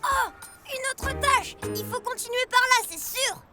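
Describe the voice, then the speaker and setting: Bold, super-high young truck kid. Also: female voice, measured pace. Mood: determined. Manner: exclamatory, childlike. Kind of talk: animated children's cartoon acting. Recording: loud music bed behind the voice.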